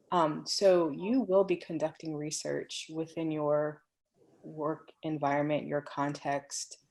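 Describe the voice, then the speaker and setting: A woman answering questions in an online webinar, explaining at a measured pace with frequent ums. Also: low voice, gravelly, a little mellow. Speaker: program faculty answering questions. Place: home office on a video call. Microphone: computer microphone.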